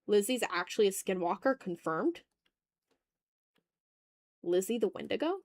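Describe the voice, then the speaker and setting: A woman's voice: medium pitch, animated, a little slow, a little mellow, no room sound. A woman hosting a casual art livestream, chatting in a playful, slangy, exclamatory way while she draws, close to the mic.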